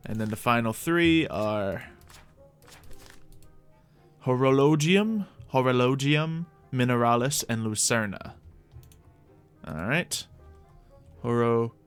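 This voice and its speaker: A man's voice: mid-range voice, lively intonation, slow, pauses often, smooth. Man musing through game commentary over music. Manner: mock-archaic, formal fantasy phrasing. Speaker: host of a gaming series. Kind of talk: gaming commentary, thinking aloud in light roleplay.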